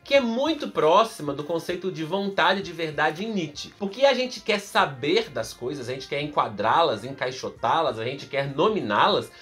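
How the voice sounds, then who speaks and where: A man's voice: a little high, normal speed, few pauses, faint music behind. A man in his thirties from Brazil, explaining a philosophy text to camera step by step, recorded close up in a room with bookshelves. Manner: expressive, explains step by step with examples. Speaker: philosophy teacher. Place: indoor room in front of bookshelves. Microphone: camera close up, facing the lens.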